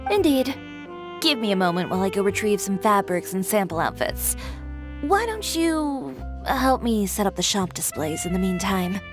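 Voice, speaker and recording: A woman playing a brisk, self-assured young fashion designer in a video game, composed and matter-of-fact with a wry edge. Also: mid-range voice, big pitch swings, music in the background, natural voice. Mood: brisk, instructive. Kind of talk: scripted video game character acting.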